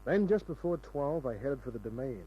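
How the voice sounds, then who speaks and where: An actor playing a wisecracking, world-weary private detective in an old radio crime drama, with dry, sardonic delivery. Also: male voice, mid-range voice, lively intonation, moderate pace, slightly rough. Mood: terse storytelling. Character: wisecracking hard-boiled male private detective. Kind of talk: 1940s-50s radio crime drama narration and dialogue. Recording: dull old radio recording with music.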